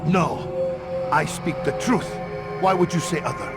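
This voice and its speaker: A man's voice: a little high, measured pace, close to an everyday voice. A man playing an earnest medieval Templar orator in a video game, speaking in an impassioned, declamatory way. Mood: earnest defending. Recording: music loud behind.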